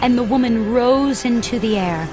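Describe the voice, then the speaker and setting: A woman's voice: fairly high pitch, normal speed. Woman narrating a children's story over music. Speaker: audiobook narrator.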